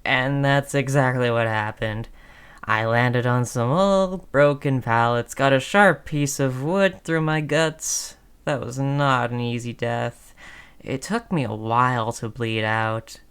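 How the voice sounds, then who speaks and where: Performer acting out a chatty friendly zombie, unhurried pace, medium pitch, cajoling, slangy, audio roleplay monologue. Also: fairly bright tone, smooth. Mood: chatty, reminiscing. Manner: casual, cajoling, slangy salesman-like patter. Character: friendly, wheedling, chatty undead zombie coaxing a survivor.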